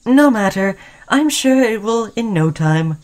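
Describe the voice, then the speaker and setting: Female robot companion, acted by a woman, friendly and devoted, chatty ASMR roleplay, mid-pitched. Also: lively intonation, measured pace, darkish tone, natural voice. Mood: fond, earnest. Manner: chatty, soothing, addressing listener as human friend. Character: friendly, caring, obsessively devoted sentient robot crewmate. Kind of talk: scripted ASMR roleplay addressed to the listener.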